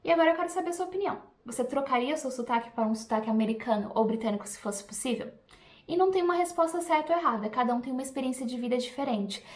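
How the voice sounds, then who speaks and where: A woman's voice: sits high, expressive, rapid-fire, reverberant. A young Brazilian woman vlogger with a Brazilian Portuguese accent, chatting reflectively and directly to her viewers. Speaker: language teacher vlogger. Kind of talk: casual talk to camera.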